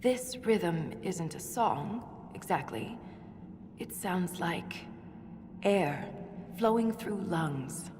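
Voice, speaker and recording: An actress playing an earnest dwarven scholar in a video game, speaking in a measured, scholarly way. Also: female voice, fairly low pitch, lively intonation, noisy background. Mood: reverent. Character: earnest female dwarven scholar exploring underground ruins. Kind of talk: video game cutscene acting. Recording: long cavernous reverb, music underneath.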